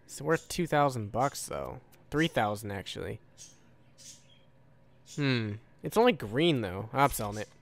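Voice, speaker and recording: A man's voice: mid-range voice, expressive, measured pace, creaky, long pauses. A man giving casual live gameplay commentary, offhand and profane, musing aloud. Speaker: gamer recording a walkthrough.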